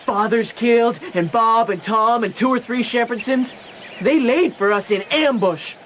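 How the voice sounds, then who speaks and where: A man's voice: extremely high pitch, measured pace, fairly bright tone. A man playing a hot-headed feuding boy with a Southern accent, fierce and defiant, in an old radio drama. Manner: fierce, defiant country talk. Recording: muffled old radio, faint noise.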